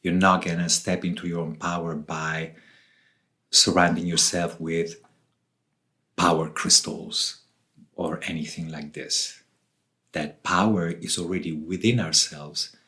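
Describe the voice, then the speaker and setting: A man from southern Switzerland giving reflective interview answers as a guest, speaking deliberately in short phrases with pauses. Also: sits low, fairly even intonation, bright, forceful tone. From Switzerland, southern part. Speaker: author and ayahuasca facilitator, interview guest.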